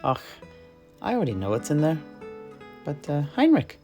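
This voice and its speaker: Casting: male performer as assured, teasing fantasy truth-seer, raised pitch, background music. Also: wildly swooping pitch, normal speed, darkish tone. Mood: knowing. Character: knowing, prompting truth-seer from a fantasy isle. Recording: music behind the voice.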